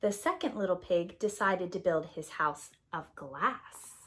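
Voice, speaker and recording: A woman's voice: lowish voice, expressive, a little slow, fairly bright tone, slight background noise. A woman narrating a scripted children's story as a preschool teacher would.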